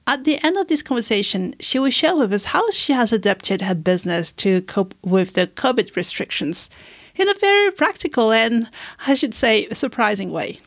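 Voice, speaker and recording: A woman's voice: fairly high pitch, very animated, moderate pace, dry recording. Dry-sounding woman host. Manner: attentive, encouraging listener. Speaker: podcast host. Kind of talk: scripted intro and interview.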